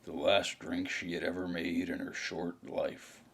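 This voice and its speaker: An actor playing a weary, brooding hard-boiled detective, narrating a scripted noir audio drama in a deliberate, gravelly voice. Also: male voice, deep voice, little pitch movement, fairly bright tone, full character voice.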